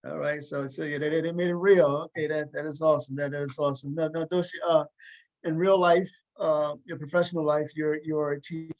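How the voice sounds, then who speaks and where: Man, talk show host, fairly high voice, casual interviewing style, hesitant with repeated phrases. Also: steady tone, fairly quick, darkish tone, low-quality recording.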